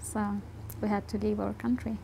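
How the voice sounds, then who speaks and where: A woman from Bhutan telling her own story in an interview, halting and searching for words. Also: mid-range voice, level tone, gravelly, mellow, slight background noise. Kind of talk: recounting personal story.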